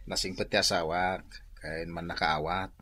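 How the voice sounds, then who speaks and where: A man's voice: sits low, little pitch movement, moderate pace, bright, forceful tone. A man playing a loyal, slightly boastful young husband in a comedy radio drama, bantering conversationally. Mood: defensive, proud.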